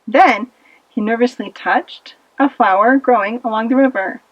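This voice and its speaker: Woman reading a kids puppet show story, high expressive voice, dry room, bit muffled. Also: moderate pace, dry recording. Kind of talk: scripted children's story narration for a puppet show.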